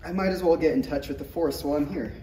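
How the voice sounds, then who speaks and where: A man's voice: a little high, fast, dark tone, lightly acted. A man playing an earnest, self-doubting young apprentice knight, hesitant and awkward, at a table read. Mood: casual. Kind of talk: table read of a parody script. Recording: echoey room, distant mic, faint noise.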